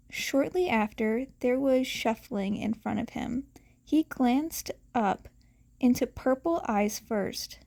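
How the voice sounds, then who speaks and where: High-voiced woman narrating a story slowly. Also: a little mellow. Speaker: podfic reader. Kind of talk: expressive audiobook-style story narration.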